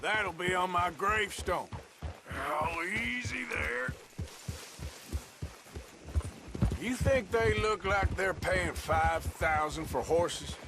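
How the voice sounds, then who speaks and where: An actor in his thirties playing a gruff, rugged outlaw with a dry, laconic drawl, in a game cutscene. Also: sits high, slow pace, over music, full character voice. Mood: sardonic.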